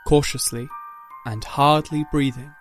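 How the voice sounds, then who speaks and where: A man's voice: mid-range voice, steady tone, unhurried, faint music behind. A man narrating a scripted dramatic story.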